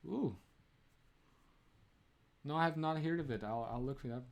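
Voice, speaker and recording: A young man from Romania hosts a casual live stream, answering viewers' comments as they come in. He chats loosely, scatters "like" through his sentences and breaks into laughter often. His voice sits at an ordinary middle pitch for a man. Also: normal speed, creaky. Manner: chatty, laughs often, many "like" fillers.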